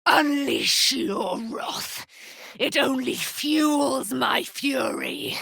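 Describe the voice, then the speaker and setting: An actress playing a proud, wrathful immortal warrior, daughter of a moon goddess, declaiming in grand archaic speech for a fantasy game. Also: female voice, sits high, animated, extremely slow, gravelly, sharp tone, husky, no echo, heavily acted. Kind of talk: theatrical fantasy game voice acting.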